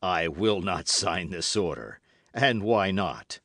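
A man voicing a haughty, indignant duke in a storybook, with an imperious, deliberate manner. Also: deep voice, expressive, fairly bright tone, no echo, studio quality, heavily acted. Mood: cold scorn. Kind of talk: dramatic audiobook character reading.

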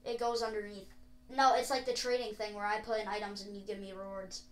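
A woman's voice: medium pitch, little pitch movement, fast, roomy sound. A woman talking casually with a friend as co-host while playing a video game. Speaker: gaming video co-host and player. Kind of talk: casual gameplay chat.

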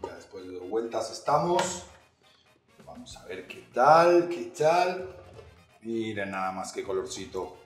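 Young male host, casual and upbeat cooking chat, middle pitch, roomy echoey room, soft music bed. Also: very animated, a little slow. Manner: upbeat, playful, addressing viewers directly. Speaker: actor presenting a recipe.

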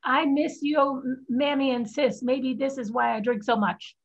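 Cheeky little-sister scribe for stage drama, female reader: blunt, teasing, husky voice, dull lo-fi call audio. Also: sits high, steady tone, measured pace, exaggerated voice. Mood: playful. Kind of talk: staged play reading over video call. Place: home room on video call. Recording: muffled video call audio.

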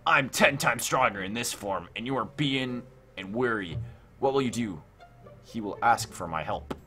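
A man hosting a casual gaming let's play, thinking aloud in an excitable, repetitive way. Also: medium pitch, moderate pace. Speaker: gaming video host. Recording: music behind the voice.

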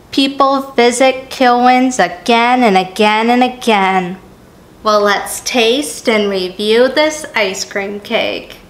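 Woman, thirties, food vlog host, slow measured delivery, echoey home room. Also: fairly high pitch. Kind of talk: scripted vlog narration and casual food review.

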